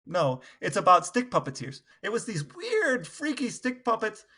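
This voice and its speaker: Bright-voiced man in casual podcast chat. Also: sits high, wildly swooping pitch, normal speed. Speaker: podcast co-host.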